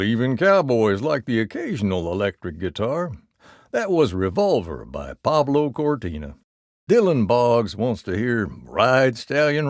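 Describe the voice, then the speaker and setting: Folksy cowboy radio DJ, swinging drawl. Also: male voice, medium pitch, no room sound, studio quality, exaggerated voice. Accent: American Southern or Western. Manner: folksy drawl, wry, song-announcer cadence. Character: folksy old cowboy country radio DJ, wry and easygoing. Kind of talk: scripted country radio DJ patter.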